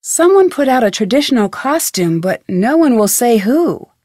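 An actress playing a curious, polite young female detective in an adventure game, asking questions in a clear, inquisitive way. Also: on the high side, very animated, normal speed, darkish tone, no room sound, studio quality. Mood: inquisitive, probing. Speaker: video game protagonist. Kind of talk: scripted adventure game dialogue. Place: studio.

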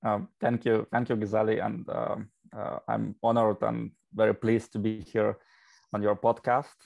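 A man in his thirties from Russia speaks over a video call from a book-lined study. His voice is deep and gravelly, and he pauses often and restarts his sentences. The sound is dry and dull, like a lo-fi laptop mic. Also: flat delivery, no echo. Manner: hesitant, many fillers and restarts. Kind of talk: casual video-call interview. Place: home study with bookshelves.

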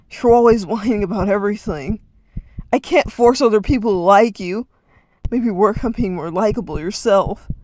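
A storyteller voicing a stressed teenage older brother who snaps and yells harshly. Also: mid-range voice, pitched above the performer's own voice, natural voice, low-quality recording. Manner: harsh, curt, yelling.

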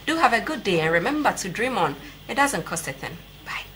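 A woman's voice: medium pitch, sing-song, brisk, loud music behind the voice. A young Ghanaian-accented woman hosting a conversational sports interview in a TV studio. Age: young adult. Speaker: TV sports talk show host.

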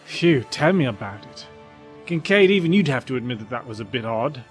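A man's voice: medium pitch, sing-song, brisk, breathy edge, soft background music. A man playing an insistent, loyal spaceship crewman in an audio drama, earnest and emphatic. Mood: urgent.

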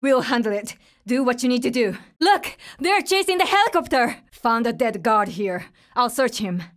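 A woman's voice: fairly high pitch, sing-song, moderate pace. A woman playing a tough, determined young survivor of a zombie apocalypse in a video game, speaking in terse, urgent, businesslike lines. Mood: focused, businesslike. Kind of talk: video game voice lines, short dramatic callouts.

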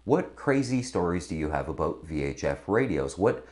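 A man's voice: low-pitched, sing-song, moderate pace, darkish tone. A middle-aged man presenting scripted instruction to camera as a sailing video host. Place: indoor studio with branded backdrop. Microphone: lapel mic.

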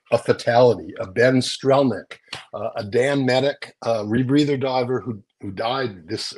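An older man chats through a news rundown on a video call from a small room at home. His voice sits at an ordinary middle pitch, and he explains things casually, often checking in with a tag question. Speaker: magazine editor and guest presenter. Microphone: video call, close to the screen.

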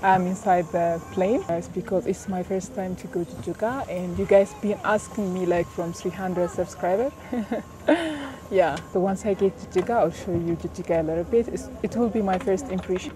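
Ethiopian woman vlogging over loud background noise. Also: on the low side, steady tone, normal speed. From Addis Ababa, Ethiopia. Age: young adult. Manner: informal, addresses viewers as guys. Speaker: travel vlogger. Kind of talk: casual chat to camera. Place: busy airport, tarmac and plane cabin. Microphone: clip-on mic, selfie camera at arm's length. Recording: noisy airport and plane cabin ambience.

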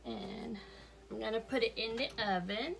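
A woman talking casually through a cooking demonstration in her home kitchen, homey and unhurried, chuckling now and then. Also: fairly high pitch, wildly swooping pitch, vocal fry, background noise. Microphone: fixed camera at counter, speaker moving around.

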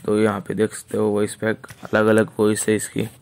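Monotone Indian man, slightly gravelly. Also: fairly low pitch, normal speed. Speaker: gaming video creator and host. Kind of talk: casual game showcase commentary.

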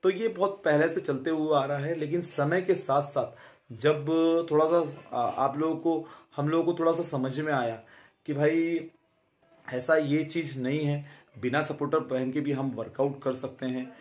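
A man in his thirties giving a casual fitness talk straight to camera, chatty and friendly, often pausing with filler sounds and tag questions, recorded on a phone held close in a small room. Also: on the high side, fairly even intonation, a little mellow. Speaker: fitness vlogger.

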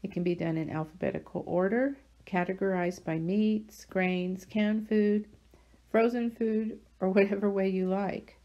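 A woman hosting a channel, reading a scripted how-to voice-over over slides in an even, instructional way. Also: mid-range voice, a little slow, lo-fi sound. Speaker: channel host.

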